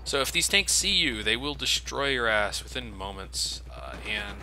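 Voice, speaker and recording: A man's voice: mid-range voice, lively intonation, moderate pace, vocal fry, pushed and bright, a little hiss or hum. A man giving casual, profane game commentary with a sardonic manner. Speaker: let's play host.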